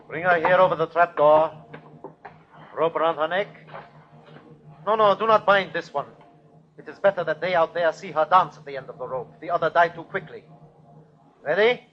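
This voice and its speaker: An actor playing a ruthless, fanatical German army captain with a commanding, clipped delivery in an old-time radio drama. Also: male voice, fairly high pitch, moderate pace, pauses often, a little hiss or hum, close to an everyday voice. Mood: cold, commanding. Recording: dull vintage radio recording.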